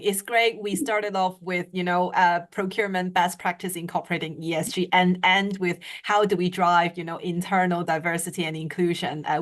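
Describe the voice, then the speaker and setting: Female moderator, somewhat low pitch; reads out audience questions with halting filler-heavy delivery. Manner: hesitant, many "uh" and "um" fillers. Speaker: webinar moderator. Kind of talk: moderating a webinar Q&A.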